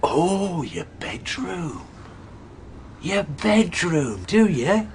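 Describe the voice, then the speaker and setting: An actor playing a surly, mocking old manservant with a Yorkshire accent in a radio drama. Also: male voice, high voice, sing-song, very slow, a little hiss or hum, heavily acted.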